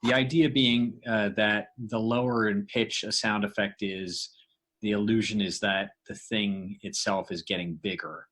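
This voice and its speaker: A man's voice: on the low side, fairly even intonation, a little slow, a bit bright. A man giving a casual, informal walkthrough as a podcast creator and sound designer, explaining loosely as he goes.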